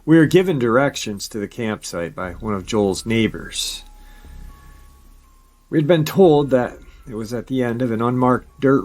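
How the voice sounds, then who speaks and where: A man with a somewhat low voice reads viewer-submitted encounter stories for his paranormal channel. He keeps a steady storytelling pace and drops in brief casual asides between the tales.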